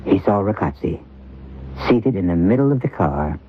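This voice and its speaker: An actor playing a grave, measured male narrator of a radio suspense tale. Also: deep voice, expressive, breathy edge. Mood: grave, suspenseful. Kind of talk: scripted radio drama narration. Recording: tinny old radio recording. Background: music.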